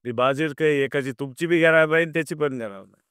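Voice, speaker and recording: A man's voice: fairly high pitch, fast talker, a bit bright, smooth, dry recording. A man, mediating informally on a recorded phone call in a placating, noncommittal way. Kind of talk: informal private phone conversation.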